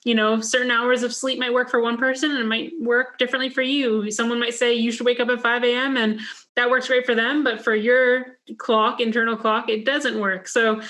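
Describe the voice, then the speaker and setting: A chatty woman hosts a podcast interview, peppering her questions with "like" and relating her own experiences. Her voice sits fairly high. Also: a bit bright. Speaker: podcast host. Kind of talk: casual interview chat.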